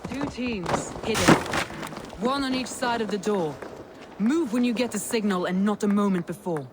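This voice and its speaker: A woman's voice: medium pitch, normal speed, heavy background noise, lightly acted. A woman playing a proud, resolute Viking war leader in a video game, speaking in an earnest, stately, commanding way. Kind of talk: dramatic video game acting.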